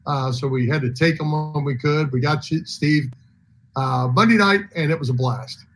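American sports host, slightly high male voice. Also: normal speed, a bit bright, a little hiss or hum, low-quality recording. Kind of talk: casual chat.

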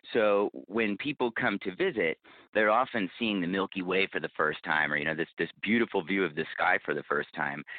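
A man's voice: low voice, no room sound. A man giving articulate, reflective, conversational answers as a podcast guest. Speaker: podcast guest, podcast creator and bookstore owner. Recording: thin phone-like line.

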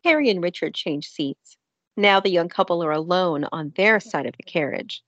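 A woman's voice: lowish voice, moderate pace, highs cut off. A woman reading scripted narration for a radio play.

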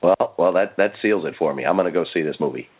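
A man hosting a casual podcast interview, speaking in halting, conversational phrases. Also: low voice. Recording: muffled narrowband phone-like audio.

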